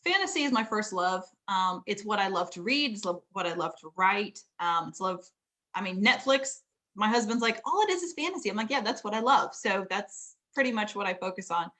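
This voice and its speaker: A middle-aged woman, a fantasy author, chatting casually about writing, laughing often and filling pauses with ums. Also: medium pitch, expressive, bright, forceful tone.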